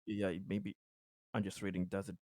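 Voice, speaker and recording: A man's voice: low voice, flat delivery, normal speed, a little mellow, no echo, clean studio sound. A man playing a tabletop game, casually talking through the rules as a player.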